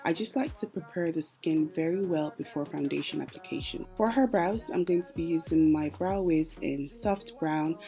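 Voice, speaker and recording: A woman's voice: low voice, normal speed, few pauses, dark tone. A woman giving a casual makeup tutorial voice-over as host, chatty and explanatory, speaking directly to her viewers. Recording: music playing behind the voice. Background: background music.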